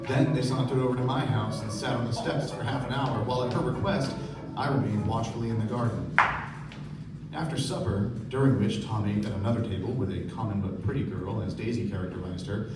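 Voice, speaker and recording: A man's voice: fairly low pitch, a little fast, lightly acted. A man acting a reflective young narrator who recalls wealthy friends in scripted literary prose for a live radio play. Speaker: actor narrating. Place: stage of a live performance. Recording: echoey stage from far, music behind.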